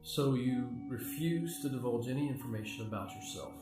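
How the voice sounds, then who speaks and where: In echoey, distant sound with music behind, a man plays a courteous, probing interviewer for a radio play. He speaks politely and a little hesitantly at a middle pitch. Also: normal speed, natural voice. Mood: curious, guarded. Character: polite, probing male interviewer visiting a killer. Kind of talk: amateur radio play acting. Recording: roomy, distant-mic sound under loud music.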